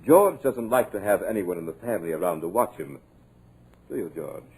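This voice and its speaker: A man's voice: sits low, lively intonation, brisk, a little mellow, slightly rough, exaggerated voice, rough recording. A man playing a sinister male hypnotist villain in an old radio drama, silky and taunting. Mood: gloating. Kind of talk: 1940s radio drama acting.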